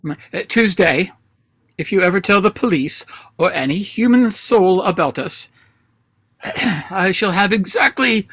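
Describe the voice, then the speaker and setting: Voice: mid-range voice, a bit bright, no echo. An actor in a radio play reading, voicing an imperious, scornful president of an anarchist council in a pompous, drily mocking way. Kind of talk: radio play read aloud. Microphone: video call.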